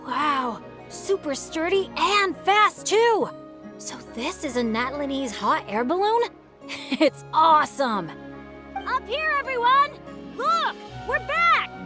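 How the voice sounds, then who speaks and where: A woman's voice: very high-pitched, sing-song, takes it slowly, heavily acted. A woman playing a sunny, enthusiastic young adventurer girl in a video game, upbeat and friendly, with music behind. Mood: excited.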